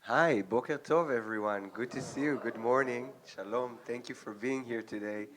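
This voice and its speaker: A young adult man from Israel with an Israeli accent, giving an earnest speech to an audience as an agency representative, with frequent ums and pauses. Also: medium pitch, a crowd in the background.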